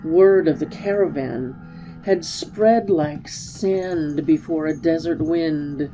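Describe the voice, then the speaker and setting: A woman narrating a storybook as a dramatic reading, measured and expressive. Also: sits low, lo-fi sound, faint music behind.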